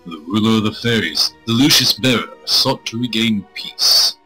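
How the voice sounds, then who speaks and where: Bright, husky male game streamer. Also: on the low side, moderate pace, low-quality recording. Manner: crude, irreverent joking. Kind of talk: reading visual novel aloud with joking asides. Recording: music playing behind the voice.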